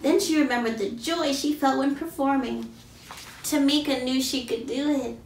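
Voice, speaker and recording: A woman's voice: high-pitched, normal speed. A woman in her thirties reads a picture book aloud to children, expressively, stretching key words and asking her young listeners questions. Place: small plain indoor room. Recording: roomy, distant mic, faint background noise.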